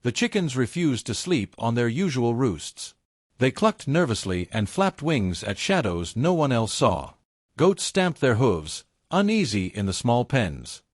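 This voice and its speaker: A man narrating a scripted folktale in a solemn, portentous way, in short measured phrases. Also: medium pitch, sing-song, dry recording, clean studio sound. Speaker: storyteller, narrator.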